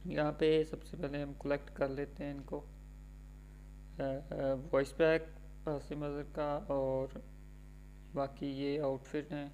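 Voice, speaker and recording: A man's voice: fairly high pitch, level tone, takes it slowly, pauses often, a little mellow, slight background noise, low-quality recording. A man with a Pakistani accent chatting casually over gameplay as a gaming YouTuber.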